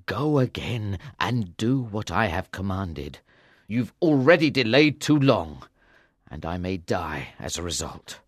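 A woman's voice: rumbling low, big pitch swings, unhurried, breathy edge, no room sound, clean studio sound, pitched below the performer's own voice, heavily acted. A woman voicing a wounded, dying king in a storybook, weary but commanding, with weak, labored speech. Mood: angry, weary. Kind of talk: storybook character voice.

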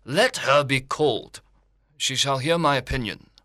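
A man playing a dry, ironic older father in a literary audiobook, speaking deliberately. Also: medium pitch, sharp tone, no room sound. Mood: dryly puzzled. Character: dry, wry older father of grown daughters. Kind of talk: dramatised literary audiobook reading.

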